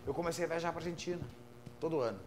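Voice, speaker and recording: A man in his thirties with a gaúcho Brazilian accent, a musician guest chatting on a podcast in a relaxed, rambling way, full of casual fillers. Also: fairly high pitch, very fast, a little hiss or hum. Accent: gaúcho Brazilian Portuguese. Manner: relaxed, rambling, with frequent fillers like "cara" and "saca". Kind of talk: casual conversational storytelling.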